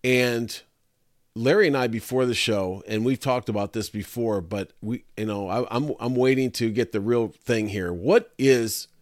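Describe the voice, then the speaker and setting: A man's voice: mid-range voice, normal speed, no room sound, clean studio sound. A man hosting an interview, chatting casually and speaking directly to the listeners. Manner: conversational, addresses listeners directly. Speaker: interview host.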